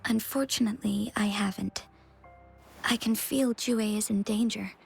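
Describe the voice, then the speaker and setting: A woman's voice: medium pitch, steady tone, measured pace, vocal fry, faint music behind. An actress playing a composed, gracious young female magistrate in a video game, speaking politely and formally. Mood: grave.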